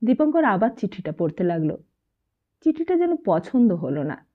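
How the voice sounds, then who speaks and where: A woman reads a literary novel as an expressive audiobook storyteller, carrying the dialogue within her narration. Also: medium pitch, moderate pace. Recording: muffled, narrow phone-like sound.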